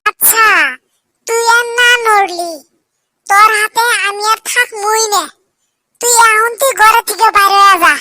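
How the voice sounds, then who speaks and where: Shrill, bossy cartoon wife. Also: measured pace, no echo, studio quality, exaggerated voice. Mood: threatening. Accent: rural Bangladeshi. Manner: sharp, scolding. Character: bossy, scolding young village wife, short-tempered. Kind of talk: comic cartoon dialogue.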